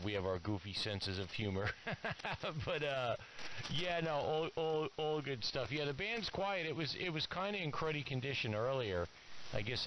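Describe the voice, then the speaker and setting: A man chatting casually and easily as an amateur radio operator over an AM radio transmission. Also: fairly low pitch. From Long Island, New York. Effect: AM shortwave radio transmission. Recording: hissy, noisy AM ham radio signal.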